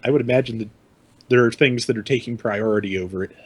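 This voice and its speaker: A man on a casual panel, giving news in a measured, tentative way. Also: medium pitch, slightly rough. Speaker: podcast panelist. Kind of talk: casual discussion of hobby news.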